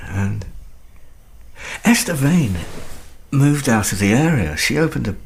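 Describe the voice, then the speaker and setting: A man acting a drunk, anxious husband in a radio thriller, slurred and gravelly, rambling through halting questions and suspicions. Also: mid-range voice, lively intonation, a little hiss or hum. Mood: uneasy, probing. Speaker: actor in an audio drama.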